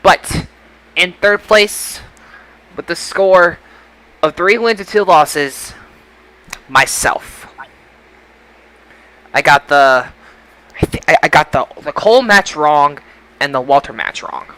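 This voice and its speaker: A man's voice: a little high, animated, slow, pauses often, pushed and bright, poor audio. A man hosting a casual podcast discussion, slipping into an announcer-like delivery. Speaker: podcast host.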